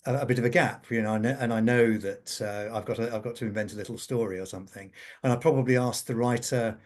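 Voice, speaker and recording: A man's voice: mid-range voice, fairly even intonation, fast, a little mellow. A man speaking as an interview guest, explaining his writing work informally, with hesitant, repeated phrases.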